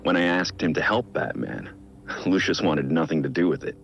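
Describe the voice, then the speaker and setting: An actor playing a brooding billionaire vigilante, recording a measured, reflective personal audio log in a game. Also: male voice, muffled recording, a little hiss or hum, natural voice. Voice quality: low gravelly voice. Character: brooding billionaire vigilante, man in his prime.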